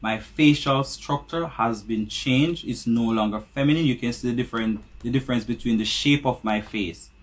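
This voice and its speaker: Calm man in a played interview clip. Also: medium pitch. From Jamaica. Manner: calm, measured explanation. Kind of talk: interview clip, personal account.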